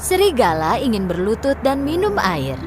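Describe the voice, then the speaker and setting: A woman's voice: a little high, sing-song, normal speed, over music. A woman storyteller reading scripted fairy tale narration for children.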